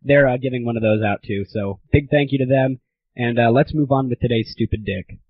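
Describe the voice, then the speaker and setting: Clear-voiced teenage guy, lo-fi recording. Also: medium pitch, fairly quick. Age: teens. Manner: irreverent, crude, mock-grandiose ranting. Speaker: host of a gaming comedy audio show. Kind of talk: casual comedic monologue. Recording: dull lo-fi 1990s internet audio.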